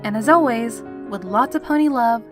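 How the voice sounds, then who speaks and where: A woman's voice: a little high, moderate pace. A woman hosting a fan fiction channel, chatting informally and sincerely to her listeners. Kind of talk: informal scripted outro to listeners. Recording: clear guitar music behind the voice. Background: plucked guitar music.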